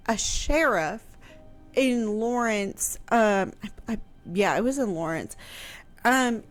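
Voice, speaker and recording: A woman explaining history in casual conversation on a radio show, piecing her sentences together hesitantly. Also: on the high side. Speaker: history show host answering questions.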